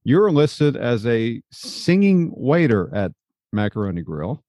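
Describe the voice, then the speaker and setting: A man hosting an interview in a mid-pitched voice, recorded close and dry. He asks friendly questions with a light teasing edge, working from details he has prepared. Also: sing-song, slow, warm tone, dry recording. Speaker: podcast host.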